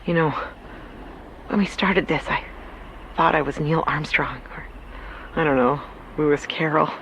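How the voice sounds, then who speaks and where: A woman's voice: deep voice, unhurried, a bit bright. A woman playing a desperate explorer recording a log of a grim discovery in an audio drama. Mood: weary awe. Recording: noisy field recording, machinery-like ambience.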